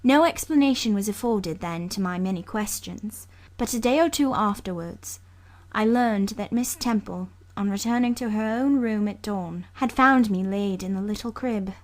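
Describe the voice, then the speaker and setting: Female narrator, classic novel audiobook: medium pitch, composed, sombre, scripted storytelling delivery.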